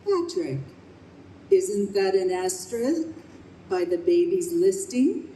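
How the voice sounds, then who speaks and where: Calm knowing heaven lady woman acting, slow mellow voice, radio drama, noisy echoey room. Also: mid-range voice. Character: composed, knowing, compassionate female spirit of heaven. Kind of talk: old-time radio play acting. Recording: echoey noisy live stage.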